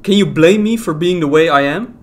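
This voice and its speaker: A man's voice: on the high side, brisk, lightly acted. An actor playing a wounded, defensive young man, a runaway boyfriend in an audio drama. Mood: bitter, defensive. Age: young adult. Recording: faint noise with ambience behind.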